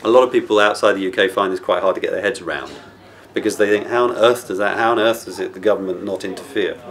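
A middle-aged man with a low voice gives a documentary interview. He explains as he thinks, rephrasing and circling back in a reflective manner. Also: quick pace, a bit bright. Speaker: broadcaster.

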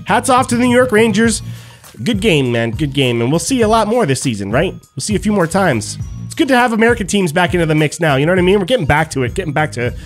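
Animated young man streaming hockey talk. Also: high voice. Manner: animated, repeats phrases for emphasis. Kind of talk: casual post-game chat to viewers. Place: small room at home. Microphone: studio mic on stand, headphones on.

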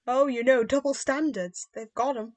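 A woman's voice: high voice, fairly even intonation, moderate pace, a little mellow. A young woman with a British accent in casual chat, explaining things in an informal way. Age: young adult. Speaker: podcast co-host, journalism student.

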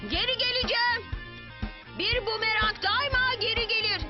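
Excitable high-pitched cartoon boy. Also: normal speed. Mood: urgent, excited. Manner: exclamatory, earnest, energetic. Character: excitable, caring young boy cartoon hero, boomerang lover. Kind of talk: animated children's cartoon dub. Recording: dull lo-fi audio with music. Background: cartoon music.

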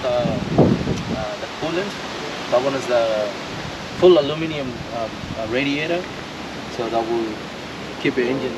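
A young man with an Indian accent, casually showing off a car outdoors, pausing often with ums and uhs. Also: mid-range voice. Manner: hesitant, many ums and uhs. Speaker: car vlogger. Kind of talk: casual walkaround car review. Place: outdoors by a roadside among trees, windy. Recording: distant voice under loud music.

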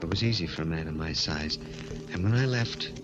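A man's voice: low voice, expressive, fairly quick, muffled recording, over music. A man playing a haunted figure who narrates his own story intensely, in 1940s radio thriller style. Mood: resolute. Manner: dramatic, intense first-person narration. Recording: noisy old 1940s radio recording.

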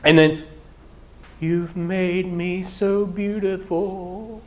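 A middle-aged man preaching in a church hall, his voice sitting fairly high for a man. He talks animatedly, slipping into mock singing and quick laughs, and is picked up by a camera out in the room. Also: level tone. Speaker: pastor. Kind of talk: sermon. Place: church hall with projector screen.

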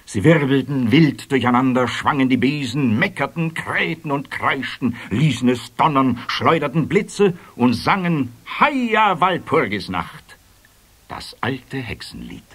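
A man's voice: mid-range voice, big pitch swings, measured pace, a bit bright, breathy edge. A man narrating a scripted children's fairy tale as the storyteller of a radio play.

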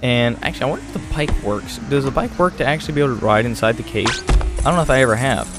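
A man's voice: medium pitch, racing, over music. A man giving casual game walkthrough commentary, chatty and thinking aloud as he plays. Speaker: gaming video host.

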